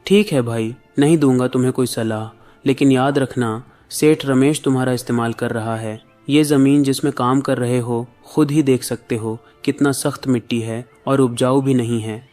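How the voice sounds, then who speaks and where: A man's voice: medium pitch, darkish tone, lightly acted. A man playing a suspicious village friend in a cartoon moral story, blunt and admonishing.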